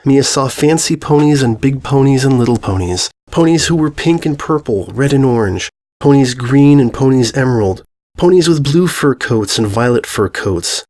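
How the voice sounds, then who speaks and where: A man reading a story as narrator, expressive and lyrical, lingering on repeated phrases. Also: medium pitch, normal speed.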